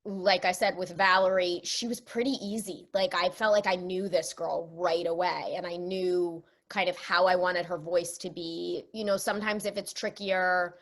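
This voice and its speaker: A woman speaking as an interview guest, an actress explaining things in a chatty, animated, casual way. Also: lowish voice, moderate pace, hardly any pauses.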